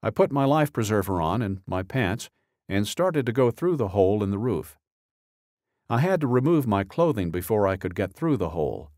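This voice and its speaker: Low-voiced man narrating an audiobook. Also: very animated, normal speed, darkish tone, no echo, studio quality. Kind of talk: scripted narration, reading a true-crime statement.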